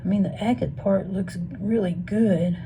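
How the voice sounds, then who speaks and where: A woman's voice: medium pitch, fairly even intonation, a little slow, warm tone. A woman with a Missouri accent, losing her voice, casually musing half to herself as she sorts stones for her vlog. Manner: muses half to herself, often drops to a whisper. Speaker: rock tumbling vlogger. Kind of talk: casual off-the-cuff commentary. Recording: noisy room ambience.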